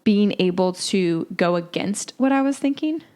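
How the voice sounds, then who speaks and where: A young adult woman co-hosting a podcast, talking in a reflective, halting way with frequent filler words. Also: medium pitch, lively intonation, darkish tone, clean tone. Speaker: podcast co-host. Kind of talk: casual chat.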